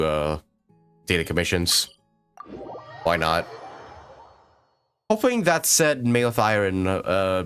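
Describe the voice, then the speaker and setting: A man with a low, lively voice chats casually as he livestreams a game, with music playing behind him. His delivery is hesitant and rambling, as if thinking aloud. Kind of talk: casual gaming livestream chat. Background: video game music.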